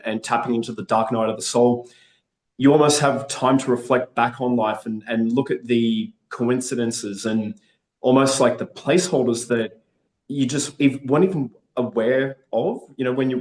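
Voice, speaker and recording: A man's voice: medium pitch, a little mellow, roomy sound, rough recording. A man from Australia with an Australian accent, chatting upbeat and optimistic as a guest in an interview. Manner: upbeat, chatty, frequent restarts. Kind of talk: casual interview.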